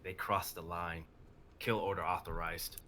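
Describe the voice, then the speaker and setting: A man's voice: deep voice, flat delivery, unhurried, sharp tone, a little hiss or hum. A man playing a reckless, dutiful soldier in a dramatic reading of a sci-fi script. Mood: resolute. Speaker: reader of stage directions and a character.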